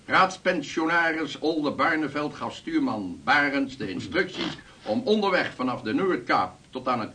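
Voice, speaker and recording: A man playing a formal, measured statesman closing a meeting. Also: mid-range voice, a bit bright. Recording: voice from a distance.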